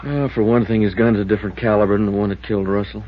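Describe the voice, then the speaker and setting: A man's voice: lowish voice, flat delivery, rapid-fire, slight background noise, close to an everyday voice. An American man playing a cautious, plain-spoken small-town deputy sheriff in a 1950s radio drama, folksy and matter-of-fact. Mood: doubtful. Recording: dull vintage radio recording.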